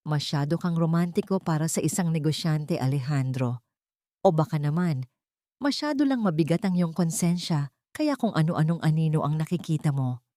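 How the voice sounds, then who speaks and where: A woman's voice: deep voice, expressive, normal speed, dark tone, no room sound, clean studio sound. A woman voicing a cold, mocking, vengeful woman in an audiobook melodrama, taunting with elegant distance.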